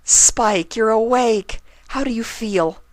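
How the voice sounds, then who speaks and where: A man's voice: high voice, takes it slowly, dry recording, pitched above the performer's own voice. A man voicing an earnest, caring young female pony magician in a storybook reading. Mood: relieved, concerned.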